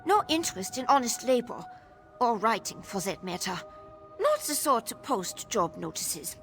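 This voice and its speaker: A woman's voice: fairly high pitch, big pitch swings, normal speed, a bit bright, faint music behind. A woman playing a wary, downtrodden young Nord informant in a video game, telling things in a guarded, world-weary way. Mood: distrustful, anxious. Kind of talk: video game dialogue acting.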